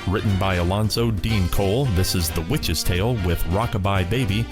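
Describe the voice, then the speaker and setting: A man's voice: deep voice, normal speed, over music. A man hosting a podcast, reading a scripted introduction to old radio shows. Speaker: podcast host.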